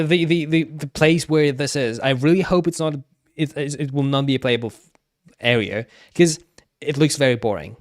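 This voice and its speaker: Clear-voiced male streamer, hesitant delivery. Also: mid-range voice, dry recording, clean studio sound. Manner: hesitant, repetitive, stammering restarts. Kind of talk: casual gaming commentary.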